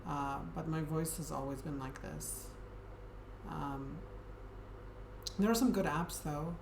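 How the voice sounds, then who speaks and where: A woman streamer chatting casually with her viewers in a soft, friendly, hesitant way, with frequent ums. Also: low voice, takes it slowly, creaky. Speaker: Twitch streamer. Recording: echoey room with steady background noise.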